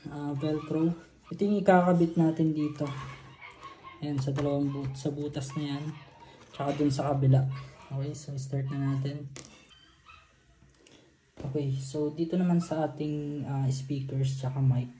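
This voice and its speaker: A Filipino man giving a casual, halting hands-on tutorial, step by step, like an informal vlogger. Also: on the high side, warm tone. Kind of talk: casual hands-on tutorial, mixing Tagalog and English. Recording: roomy echo, noticeable background noise.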